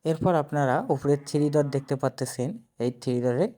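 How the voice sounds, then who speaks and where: A man's voice: on the high side, moderate pace, mellow. A man giving a casual step-by-step phone app tutorial, instructing viewers directly and repeating himself. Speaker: tutorial presenter.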